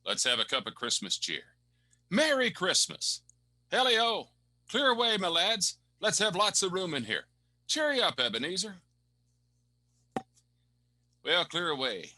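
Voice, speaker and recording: A man's voice: high voice, sing-song, measured pace, pauses often, bright, forceful tone, pitched above the performer's own voice, full character voice. A man playing a jovial, hearty old merchant who exuberantly calls out to his apprentices in a radio play. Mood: merry, hearty. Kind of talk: stage-style radio play acting.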